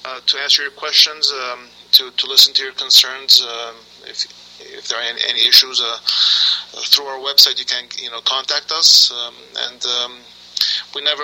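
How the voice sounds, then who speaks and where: A man speaking for the program, halting with many fillers and clearing his throat. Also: medium pitch, pushed and bright, a little hiss or hum, low-quality recording. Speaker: program representative. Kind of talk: on the line, halting remarks.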